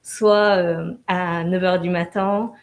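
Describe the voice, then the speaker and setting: Young woman, student, fairly low voice, steady and informative answers. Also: slow. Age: young adult. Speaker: first-year business student. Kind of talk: live interview answers.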